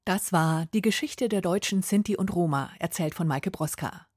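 A woman's voice: fairly low pitch, moderate pace, a little mellow, slightly rough, dry recording. A woman wraps up a podcast with a friendly, upbeat sign-off. The sound is dry and close-miked. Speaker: podcast host. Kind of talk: podcast outro.

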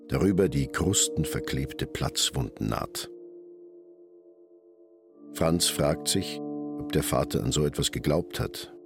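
A man's voice: extremely low pitch, vocal fry, exaggerated voice. An actor playing a brooding, plain-spoken hairdresser, a fisherman's son, in a reflective radio drama that mixes narration and inner monologue. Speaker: actor who also narrates his figure.

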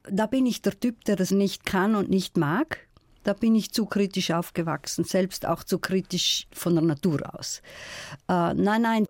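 A middle-aged woman from South Tyrol with a South Tyrolean accent, a guest in a radio interview, speaking in a thoughtful, measured, storytelling way. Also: mid-range voice, a little mellow, no echo, clean studio sound. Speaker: guest, folklorist.

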